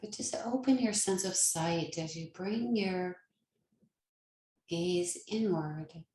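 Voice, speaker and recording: A woman leading a guided meditation as a yoga nidra teacher, speaking gently and soothingly with long pauses. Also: lowish voice, roomy sound.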